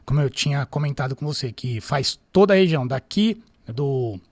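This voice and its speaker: A man in his thirties with a São Paulo accent, a police captain calmly answering interview questions about his career. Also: mid-range voice, gravelly. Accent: Paulistano Brazilian Portuguese. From São Paulo, Brazil. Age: 30s. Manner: calm, measured, storytelling. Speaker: military police captain, guest.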